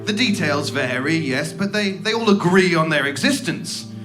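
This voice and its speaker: An actor playing a smug, tactless agent of a secretive organization in a horror audio drama, glib and quick to stammer. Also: male voice, on the high side, animated, close to an everyday voice. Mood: smug, impatient. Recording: background music under the voice.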